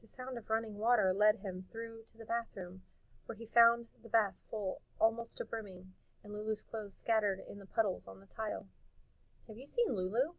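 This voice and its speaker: A woman reading a storybook aloud in an expressive narrating style. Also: mid-range voice, moderate pace, vocal fry, dry recording, low-quality recording. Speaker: audiobook reader.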